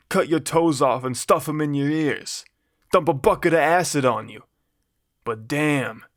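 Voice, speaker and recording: A man's voice: on the high side, fairly bright tone, no room sound, clean studio sound, close to an everyday voice. A man voicing an exasperated, threatening gangster in a story, with a put-on New York Italian-American accent. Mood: menacing, exasperated. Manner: ranting, threatening, grudgingly admiring. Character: menacing, exasperated male gangster boss with tattoos.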